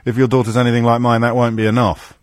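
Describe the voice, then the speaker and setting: A man's voice: medium pitch, completely flat delivery, fast, a loud crowd. A middle-aged man hosting a radio phone-in, dry and teasing, with a self-mocking humour. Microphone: studio microphone.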